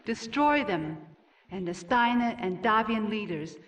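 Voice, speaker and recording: Game cutscene role: regal ruling mother, played by a woman, stern and formal, measured delivery, slightly distant sound. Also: medium pitch, expressive, muffled recording, natural voice. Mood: cool, commanding. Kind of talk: scripted video game cutscene acting.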